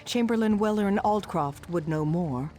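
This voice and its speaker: A woman's voice: medium pitch, measured pace, dark tone, close to an everyday voice. An actress playing a reverent woman of a forest sisterhood, a worldly former soldier, speaking earnestly and formally in a fantasy video game. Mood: earnest, grave. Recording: music behind the voice.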